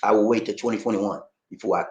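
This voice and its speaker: Casual host in an echoey room. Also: male voice, lowish voice, steady tone, fast, muffled recording. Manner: loose, repetitive, talks to viewers directly. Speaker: channel host reading viewer chat. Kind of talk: casual live-stream chat.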